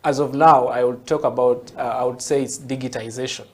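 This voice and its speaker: Ugandan guy, guest on interview, explains things slow and patient, keeps saying yeah?. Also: medium pitch, fairly even intonation, darkish tone, slight background noise. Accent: Ugandan English. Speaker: guest, tech entrepreneur.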